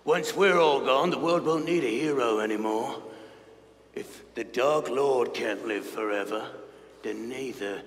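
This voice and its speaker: A man playing a gruff, villainous impostor posing as a veteran professor in a comedy staged reading, blustering and menacing with mock friendliness. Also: mid-range voice, unhurried, close to an everyday voice. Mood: bitter menace. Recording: noisy echoey hall with long reverb.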